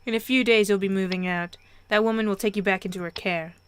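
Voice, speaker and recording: A woman's voice: mid-range voice, fairly even intonation, fast, a little hiss or hum, close to an everyday voice. A woman voicing a cold, stern father, blunt and emphatic with an adopted child in a story. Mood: dismissive.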